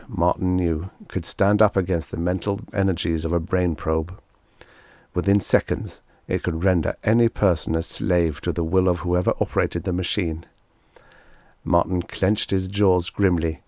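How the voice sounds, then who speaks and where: A man's voice: low voice, steady tone, normal speed, a little mellow, no room sound, clean studio sound. A man reading a scripted story as an audiobook narrator.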